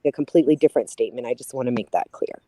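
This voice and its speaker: A woman's voice: a bass voice, rapid-fire, dark tone, no room sound. A woman in recovery choosing her words carefully in a casual debate, often stammering as she clarifies. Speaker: recovering alcoholic.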